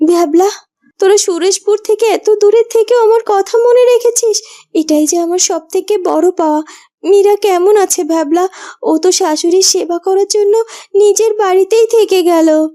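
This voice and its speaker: A woman's voice: extremely high pitch, moderate pace, dark tone. A woman voicing a sweet, gracious young woman in a fairytale cartoon, with an affectionate manner. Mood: touched. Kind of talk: Bengali fairytale cartoon dubbing.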